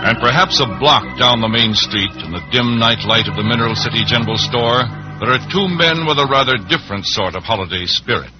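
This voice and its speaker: A man's voice: mid-range voice, animated, fairly quick. Male old-time radio narrator over organ. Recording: muffled old radio recording. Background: organ music.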